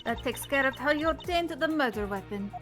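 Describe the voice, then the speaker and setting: A woman voicing a smug, sharp investigator in a video game fan dub, with a taunting, self-assured manner. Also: sits high, animated, fairly quick. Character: smug, sharp female investigator sparring over evidence in a game. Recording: music behind the voice.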